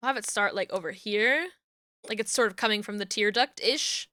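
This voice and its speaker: A young woman with a New Jersey accent, chatting casually on a live stream while she works, murmuring to herself and then greeting viewers with loud squeals. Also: mid-range voice, a little fast, no echo, studio quality. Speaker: VTuber streamer rigging a model.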